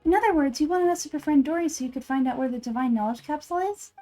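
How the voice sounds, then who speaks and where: A woman's voice: high voice, rapid-fire, mellow, faint music behind, voice pushed up, natural voice. A woman voicing a small, childlike fairy companion from a video game, chirpy, curious and cheekily blunt. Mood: inquisitive. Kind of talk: game dialogue read aloud.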